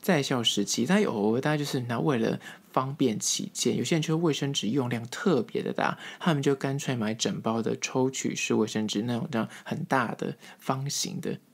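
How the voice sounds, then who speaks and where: Male podcast host, Taiwanese Mandarin accent, mid-pitched voice, chatty comic storytelling with stretched-out emphasis. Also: fairly quick, creaky, few pauses. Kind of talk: casual humorous solo podcast monologue.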